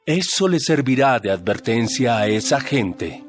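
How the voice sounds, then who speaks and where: Calm authoritative holy teacher, bible drama, mid voice, lively melody, soft music behind. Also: male voice, medium pitch, measured pace. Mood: instructing. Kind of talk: dramatized Bible audio acting.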